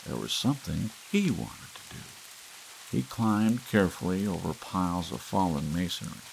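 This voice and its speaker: Man narrating an ASMR audiobook, deep low voice, wide lively pitch, expressive storytelling. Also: normal speed, gravelly, slight background noise, rough recording. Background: soft rain sounds.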